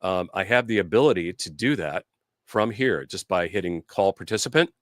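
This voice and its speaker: Low-voiced man hosting a live demo. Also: animated, normal speed, no echo, clean studio sound. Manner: informal, conversational, explanatory. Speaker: host and product demonstrator. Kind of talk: casual live product demo and interview.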